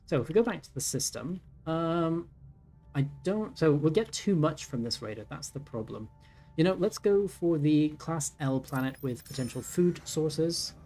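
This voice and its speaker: A man's voice: a little high, animated, moderate pace, darkish tone. Man in his thirties musing over a game. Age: 30s. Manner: thinking aloud, many ums and self-corrections. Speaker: gaming YouTuber. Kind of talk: casual let's-play commentary. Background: faint game music.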